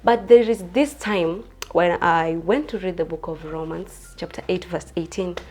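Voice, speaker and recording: A woman in her twenties from Kenya, a pageant contestant answering interview questions in an earnest, soft-spoken way. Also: lowish voice, steady tone, moderate pace. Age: 20s.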